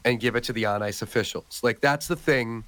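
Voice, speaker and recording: Man, hoarse cold voice, chatty and joking, dry close mic. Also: medium pitch, fairly quick, a bit bright, no room sound, rough recording. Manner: chatty, joking, many "like" fillers. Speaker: podcast co-host. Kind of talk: casual chat.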